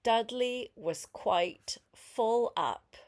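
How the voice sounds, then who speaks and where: Slow, expressive older woman reading aloud. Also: fairly high pitch. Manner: unhurried, expressive, explains words and asks questions. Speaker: grandmother reading a picture book aloud to a child. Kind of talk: storybook read-aloud with chatty asides to a young listener.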